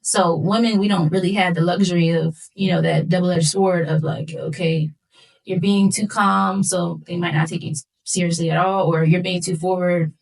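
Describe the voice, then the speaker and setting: A young woman from West Philadelphia, a singer guest, talking in a relaxed conversational way with frequent fillers. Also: on the low side, moderate pace, vocal fry, poor audio. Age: 20s. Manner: relaxed, lots of fillers like um and you know. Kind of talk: casual conversation.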